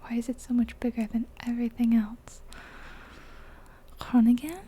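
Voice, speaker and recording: A woman's voice: a little high, steady tone, normal speed, mellow. A woman whispering her way through a game in a chatty, rambling ASMR style. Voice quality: breathy unvoiced whisper. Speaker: ASMR gaming creator. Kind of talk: whispered ASMR gameplay commentary.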